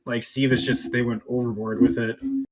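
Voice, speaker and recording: A man's voice: mid-range voice, flat delivery, normal speed. A man co-hosting a gaming podcast, giving his opinions in casual conversation.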